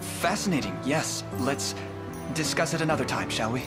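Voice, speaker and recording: Man playing an earnest young prince, medium pitch. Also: animated, moderate pace, close to an everyday voice. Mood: polite, flustered. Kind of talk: video game cutscene acting. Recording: loud game music behind. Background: game music.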